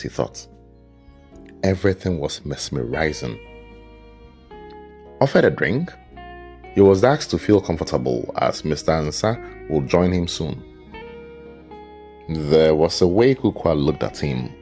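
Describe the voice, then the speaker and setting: A man with an extremely deep voice narrates a folktale from a script. His delivery is measured and dramatic, in the manner of a storyteller. Faint guitar music plays behind him. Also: a little mellow. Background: background music with guitar.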